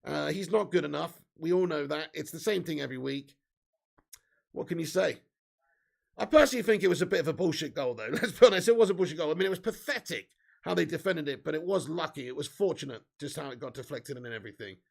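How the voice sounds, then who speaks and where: A man with a hoarse, fading voice, giving sweary, excitable live fan commentary while reading chat. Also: a little high, quick pace. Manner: swearing, excitable, shouts at chances. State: losing his voice. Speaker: fan watch-along streamer.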